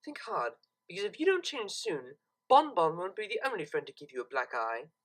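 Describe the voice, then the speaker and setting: A woman's voice: lowish voice, sing-song, moderate pace. A woman voicing a refined young cartoon mare who speaks formally and with cool disapproval. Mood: stern, lecturing. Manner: formal, articulate, lecturing.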